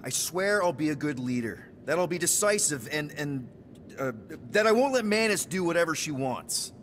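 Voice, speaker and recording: A man's voice: fairly high pitch, lively intonation, normal speed, fairly bright tone, slight background noise. A man playing a young, exasperated space-outlaw leader in a video game, talking in a casual, wry way. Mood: earnest. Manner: informal, wry. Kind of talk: conversational video game acting.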